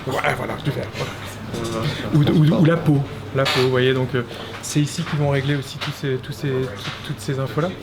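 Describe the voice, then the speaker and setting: A man's voice: medium pitch, steady tone. A man casually explaining an animation studio on a walking tour, hesitant and full of restarts. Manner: halting, many restarts and fillers. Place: animation studio offices, indoors. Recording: loud background noise.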